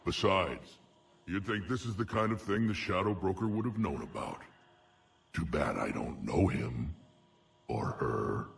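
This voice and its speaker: An actor playing a huge, proud, battle-hardened alien warrior chieftain in a video game, with a gravelly rumble and blunt, sardonic delivery. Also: male voice, expressive, a little slow, distant mic, heavily acted.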